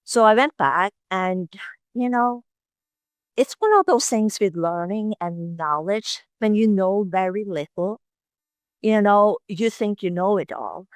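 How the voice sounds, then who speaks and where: An older woman with a Norwegian accent, a veterinary oncologist telling her story as a podcast guest in a reflective, unhurried way. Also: mid-range voice, no room sound. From Norway. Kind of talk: casual interview storytelling.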